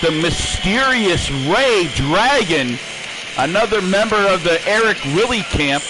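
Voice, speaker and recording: A man calling wrestling play-by-play, excitable and raising his voice over the action. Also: sits high, a little slow, poor audio. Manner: excitable, calls action with shouting bursts. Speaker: play-by-play commentator. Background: music.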